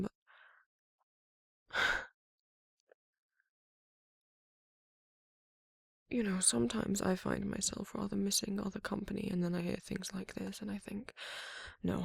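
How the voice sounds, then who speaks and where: A performer voicing a tender, courtly vampire lover in an ASMR romance roleplay, breathy and often whispering, with slow, soothing, formal speech. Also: mid-range voice, darkish tone, no room sound, studio quality. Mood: concerned, wry.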